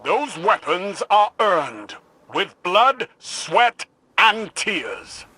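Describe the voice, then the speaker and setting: High-pitched, forceful warlord. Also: male voice, very animated, very slow, slightly rough, no room sound, heavily acted. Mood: proud, emphatic. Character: booming, proud male warlord arena master. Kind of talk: video game voice acting.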